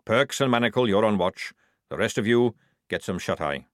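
A man voicing a gruff, sarcastic old army sergeant who barks folksy orders in an audiobook. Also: fairly low pitch, lively intonation, moderate pace, dry recording, studio quality, lightly acted. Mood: brisk, sardonic. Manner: blustering, barking commands with folksy phrases.

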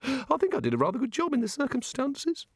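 A man voicing an anxious, absent-minded male god who talks in a high, puzzled, fussy way. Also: huge pitch swings, brisk, breathy edge, dry recording, studio quality, full character voice. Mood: fretful.

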